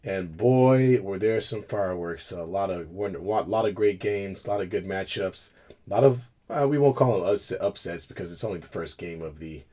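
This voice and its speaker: A man talks through a casual sports chat, his voice raspy after a cold. He rambles loosely, laughs between lines and restarts his sentences. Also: deep voice, moderate pace, mellow. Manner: chatty, laughs often, trips over words. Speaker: co-host. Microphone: video call.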